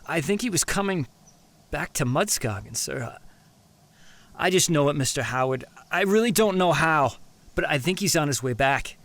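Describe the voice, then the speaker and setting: An actor playing an anxious, earnest young man in an audio drama, halting and deferential. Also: a little high, animated, fairly bright tone. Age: young adult. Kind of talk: naturalistic audio drama acting.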